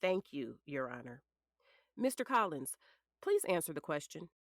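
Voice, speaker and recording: Female defense lawyer, played by an actress, shrewd and pointed, expressive pitch, dry studio sound, courtroom drama. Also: moderate pace, dry recording. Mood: probing, sly. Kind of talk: audio drama acting, courtroom questioning.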